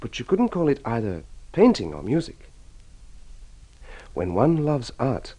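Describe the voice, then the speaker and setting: An actor playing a sheepish, devoted young husband in an old radio drama, speaking earnestly and hesitantly. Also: male voice, mid-range voice, huge pitch swings, a little slow, warm tone. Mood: tender.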